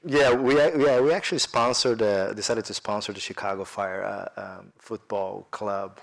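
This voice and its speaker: A man from Brazil with a Brazilian accent, speaking as a panelist in a business discussion, animated and full of fillers and restarts. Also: fairly low pitch, normal speed, creaky. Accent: Brazilian Portuguese.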